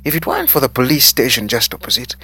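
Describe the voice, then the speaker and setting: Bullying woman boss, played by a man, mocking, scolding, raised pitch, forceful, audio story villain. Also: wildly swooping pitch, quick pace, vocal fry, full character voice. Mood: scornful, threatening. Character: mocking, bullying female restaurant manager, harsh and threatening.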